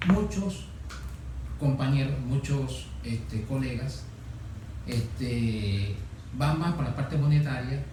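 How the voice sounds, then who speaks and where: A man's voice: medium pitch, expressive, takes it slowly, pauses often. A man answering conversationally as a consultant guest in an interview. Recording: very echoey, distant mic, noisy room.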